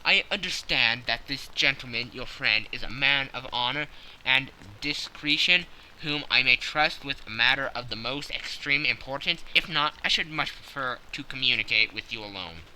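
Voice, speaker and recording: Male narrator as a commanding, secretive German-accented nobleman, storybook style. Harsh, sharp, imperious; faint noise behind. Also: moderate pace, low-quality recording. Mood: grave, imperious. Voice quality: deep, harsh. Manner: formal, forceful, imperious. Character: huge masked Bohemian nobleman, haughty, secretive, commanding.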